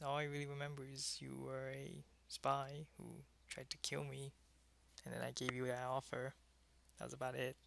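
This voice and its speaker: A man's voice: medium pitch, creaky, pushed and bright, exaggerated voice. A man playing a world-weary, lonely vampire who was once a human soldier, in an intimate, conversational ASMR roleplay.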